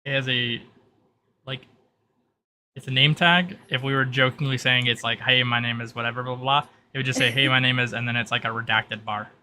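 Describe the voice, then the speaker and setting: Bright-voiced man running a tabletop game. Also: medium pitch, fairly even intonation, fairly quick, a little hiss or hum. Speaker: game master. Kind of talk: narrating and briefing a tabletop game.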